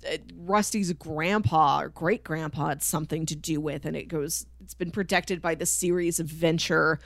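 A woman's voice: low voice, steady tone, moderate pace, dry recording. A woman co-hosting a podcast, talking casually through a TV show and acting out imagined lines as she explains. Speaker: podcast co-host. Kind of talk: casual conversational TV show discussion.

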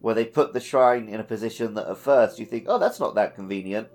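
Low-voiced man chatting about games. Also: a little fast, a little mellow, faint music behind. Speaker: podcast co-host. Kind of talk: casual discussion of a video game.

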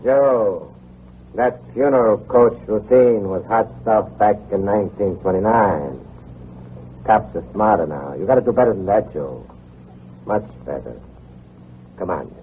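An actor playing a cool, skeptical gangster underling in an old-time radio crime drama. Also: male voice, lowish voice, animated, unhurried, long pauses. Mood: dismissive. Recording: tinny, noisy old radio recording.

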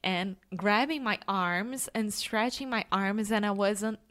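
Slow-talking woman. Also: medium pitch, fairly bright tone. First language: Portuguese. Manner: laughs often, careful non-native phrasing. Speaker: podcast co-host. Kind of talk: casual chat.

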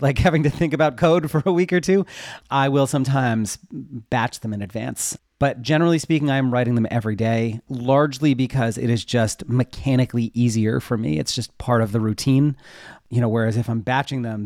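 A man's voice: mid-range voice, a little mellow, no room sound, clean studio sound. A man giving casual interview answers in an informal, rambling way, often interrupting himself. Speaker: interview guest, web developer and newsletter writer.

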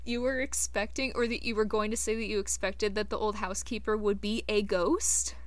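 A woman's voice: mid-range voice, fairly even intonation, a little fast, no room sound. A woman co-hosting a podcast, talking casually about films. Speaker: podcast co-host. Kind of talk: casual film discussion.

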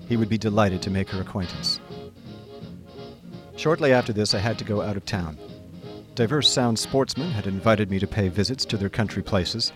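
An actor playing an idle, chummy young upper-class gentleman, narrating a radio drama in breezy, wordy old-fashioned slang. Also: lowish voice, close to an everyday voice. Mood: chatty. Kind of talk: scripted first-person radio drama narration. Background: music.

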